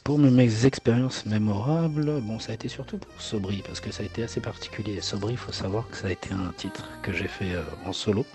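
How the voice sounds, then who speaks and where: A man's voice: lowish voice, brisk, hardly any pauses, music in the background. A man in his forties, a singer whose first language is Arabic, answering interview questions hesitantly with many fillers and repeated words. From Morocco.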